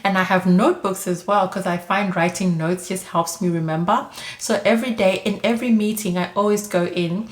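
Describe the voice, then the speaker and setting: A woman's voice: mid-range voice, steady tone, a little fast. A woman, giving chatty advice in a casual monologue, full of "like" and "you know". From Zimbabwe. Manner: chatty, frequent "like" and "you know", light laughs. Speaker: vlogger giving immigrant advice. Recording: echoey room.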